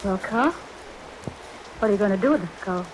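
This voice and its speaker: An actress playing an uneasy, sensible wife in a vintage radio horror play, apprehensive and pointed. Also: female voice, mid-range voice, expressive, unhurried, heavy background noise, lightly acted. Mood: pointed worry. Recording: old radio recording with rain. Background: rain.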